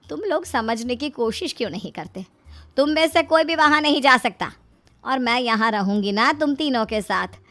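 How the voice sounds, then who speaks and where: A woman's voice: on the high side, lively intonation, normal speed, bright, forceful tone. A woman voicing a scheming, fretful middle-aged mother-in-law with pleading, emphatic delivery in a comic story. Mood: firm coaxing.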